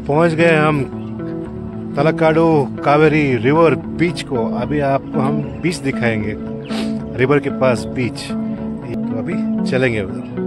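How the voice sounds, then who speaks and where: A man's voice: medium pitch, slow pace, over loud music. A man in his thirties from Bangalore, chatting casually as he films his travel vlog at a river beach and showing viewers around. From Bangalore, India. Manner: chatty, mixes English into Hindi, points things out to viewers. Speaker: travel vlogger. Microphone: handheld selfie camera at arm's length.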